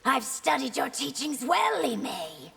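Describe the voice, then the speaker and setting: An actress playing a proud, commanding young empress in a fantasy video game, with an imperious, formal manner. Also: female voice, sits high, sing-song, measured pace, vocal fry, pushed and bright, soft background music, exaggerated voice. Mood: composed, regal. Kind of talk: video game dialogue acting. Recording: long hall-like reverb.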